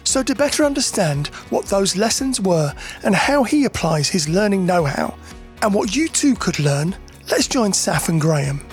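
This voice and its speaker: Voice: medium pitch, very animated, normal speed. Scripted podcast intro voice with music. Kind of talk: scripted narration.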